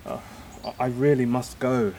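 Wistful idealist played by a man, halting and reflective, naturalistic audio drama, faint outdoor birds and insects. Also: medium pitch, steady tone, mellow, a little hiss or hum, natural voice. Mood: reluctant farewell. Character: wistful departing man, hopeful philosophising idealist, father.

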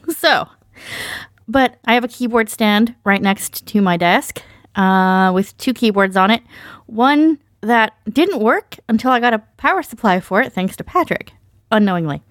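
A woman's voice: on the high side, expressive, measured pace, dry recording. A woman chatting casually as a podcast panelist, joking and self-deprecating, recorded close in a small treated spot. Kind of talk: casual chat. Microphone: mic inside foam-lined box.

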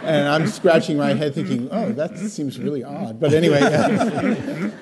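A man's voice: fairly high pitch, expressive, measured pace, over a noisy crowd. An older man on an expert panel, answering a question with a chuckling story. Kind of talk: panel discussion answer with anecdote.

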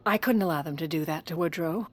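An actress playing a sardonic, steely, scheming woman in an audio drama, speaking in a dry, cutting, deliberate way. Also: low-pitched. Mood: grave, controlled. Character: sardonic, steely woman scheming against her family's accusers. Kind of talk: audio fiction drama acting.

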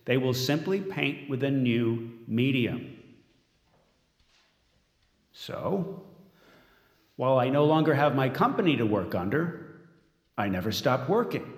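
A man's voice: medium pitch, sing-song, takes it slowly, pauses often. An actor playing a smug, controlling tech mogul in an audio drama, giving a polished, self-satisfied speech as he unveils his android. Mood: proud, boastful. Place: large event room as staged, crowd behind. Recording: echoey hall with crowd behind.

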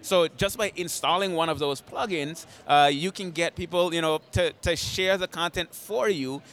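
A man's voice: a little high, normal speed, a bit bright, faint music behind. A man hosting a podcast, chatting casually and enthusiastically, explaining ideas with many fillers and restarts, his voice a little strained. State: slightly strained voice after an event. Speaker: podcast host. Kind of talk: casual conversation.

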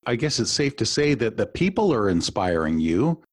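A man's voice: lowish voice, big pitch swings, normal speed, no echo, studio quality. A male podcast host and executive coach, enthusiastic, asking long, wind-up questions of his guest. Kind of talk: podcast interview.

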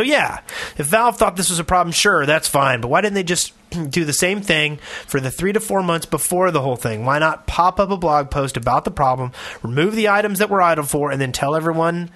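A man's voice: on the high side, expressive, a little fast, fairly bright tone. A man hosting a casual podcast, reading aloud and commenting. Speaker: podcast host.

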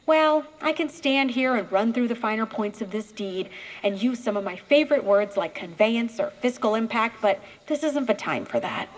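A poised, officious small-town politician acted by a woman in a comic children's drama. Her voice sits on the high side. She speaks formally, as if always giving a speech. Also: lively intonation, normal speed, hardly any pauses, natural voice. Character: poised, self-promoting town councilwoman, high-minded and officious.

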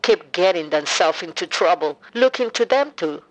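A woman's voice: on the low side, lively intonation, normal speed, slight background noise. An actress playing a grieving, bitter mother on a phone call in an audio drama, speaking tersely and with suspicion. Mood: bitter, accusing. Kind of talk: full-cast audio drama acting.

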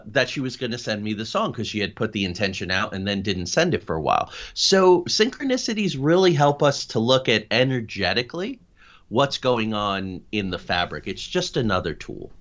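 A middle-aged man with a fairly low voice speaks as an interview guest. He explains and tells anecdotes in an animated, conversational way. The audio is somewhat dull and lo-fi. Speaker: interview guest, paranormal investigator and writer.

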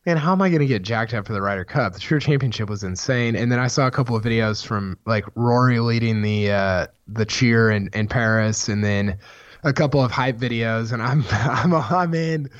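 A hoarse man who is losing his voice, co-hosting a sports podcast in casual, rambling chat full of restarts. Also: medium pitch, moderate pace, no echo. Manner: rambling, many restarts, excited. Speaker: podcast co-host, golf writer. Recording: dull, dry podcast mic sound.

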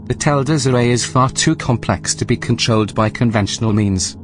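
A man playing a calm, polite station computer in a sci-fi audio drama, speaking in a measured, formal, matter-of-fact way. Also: mid-range voice, music in the background, natural voice. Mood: placid, explanatory.

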